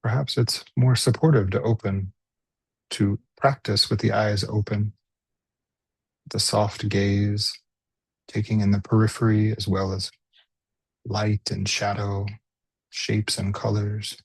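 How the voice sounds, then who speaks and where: A man guides a body scan in a lowish, breathy-husky voice. He speaks gently, leaving room between phrases like an unhurried meditation teacher. The sound is dry, with very little room around it. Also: level tone, dry recording.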